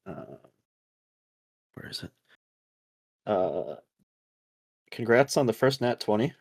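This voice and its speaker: A man's voice: fairly low pitch, very animated, takes it slowly, darkish tone, dry recording. A man acting as game master, narrating a tabletop role-playing game in an informal, slangy, conversational way.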